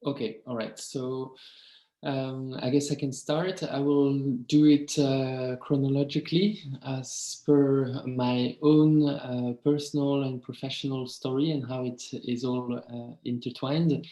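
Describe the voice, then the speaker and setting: A man in his thirties from France with a French accent, giving a career talk on a video call and pausing often with ums and uhs. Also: medium pitch, fairly even intonation, warm tone, echoey room, poor audio. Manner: hesitant, many ums and uhs. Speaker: webinar speaker.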